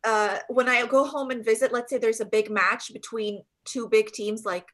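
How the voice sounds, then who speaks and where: Woman from Jordan, comedian guest, playful podcast chat, a little high. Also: fairly even intonation, moderate pace, fairly bright tone. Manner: playful, laughing often.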